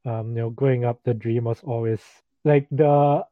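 A man's voice: mid-range voice, fairly even intonation, moderate pace, no echo. A young man from Singapore chats casually as a podcast guest, with lots of fillers and easy laughs. He comes through a thin, phone-like remote line that sounds dry and close. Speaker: guest, digital freelancer. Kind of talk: casual interview chat.

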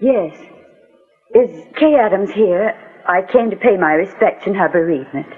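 A woman's voice: mid-range voice, animated, normal speed, husky, narrow, tinny audio. A woman playing an assertive, haughty society lady, formal and dramatic, in 1940s radio drama style. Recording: band-limited old 1940s radio recording.

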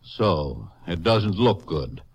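A man's voice: low-pitched, animated, slow pace, slightly rough, highs cut off, full character voice. An actor playing a defiant, evasive small-town hardware store man in a 1950s western radio drama, curt and sarcastic.

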